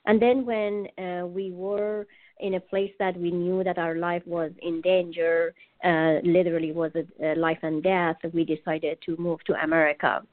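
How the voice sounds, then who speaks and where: Middle-aged woman, Iranian accent, native Persian speaker, lowish pitch, halting personal storytelling, tinny phone line. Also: level tone. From Iran. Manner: halting, searching for words. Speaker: interview guest, author. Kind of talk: personal life story in an interview.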